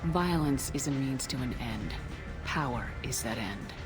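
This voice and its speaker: Tough female commander, low voice, firm, direct, defiant, video game dialogue, music behind. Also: animated, a little slow. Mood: cool, probing.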